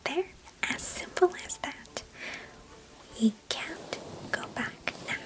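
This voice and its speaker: A woman voicing a chatty, mischievous and affectionate young girl cousin in an ASMR roleplay, in a breathy, intimate whisper close to the mic. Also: high voice, lively intonation, slow, poor audio. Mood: coaxing, mischievous. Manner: gentle, chatty, storybook-like old-fashioned phrasing. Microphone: close microphone.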